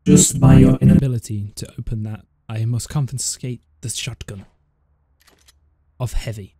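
A man's voice: medium pitch, fairly even intonation, normal speed, dark tone, husky. A man talking casually and trolling in game voice chat, with a mock-bossy, sarcastic manner. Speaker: gaming video maker.

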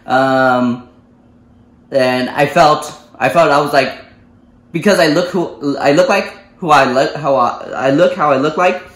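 A man's voice: fairly high pitch, unhurried, a bit bright. A man in his thirties from the United States, telling a personal story to camera with many fillers and restarts. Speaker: vlogger. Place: small living room, indoors. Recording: echoey room.

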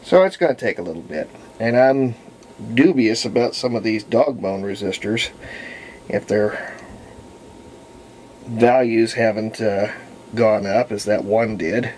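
A man giving casual hands-on repair commentary as a hobbyist, thinking aloud in a halting way with folksy wording. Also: fairly low pitch, a little mellow. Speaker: hobbyist radio restorer. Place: indoor workbench. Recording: noisy handheld camera audio.

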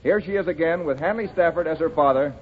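A man's voice: on the high side, fast talker. A man announcing in the style of a 1940s radio introduction. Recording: tinny, reverberant, hissy old radio recording.

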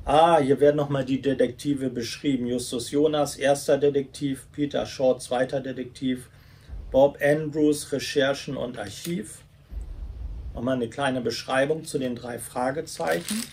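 A man talks slowly in a slightly rough, husky voice. He gives a casual toy unboxing commentary in short, halting phrases, at a medium pitch. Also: steady tone, a little mellow. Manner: halting short phrases while handling items. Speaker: YouTube toy reviewer. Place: small furnished room at home, indoors.

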